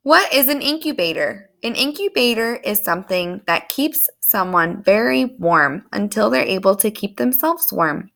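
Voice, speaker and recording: A woman reading a story aloud to young children in an animated, expressive storytelling manner. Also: medium pitch, normal speed, vocal fry, hardly any pauses. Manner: animated, explains words to young listeners.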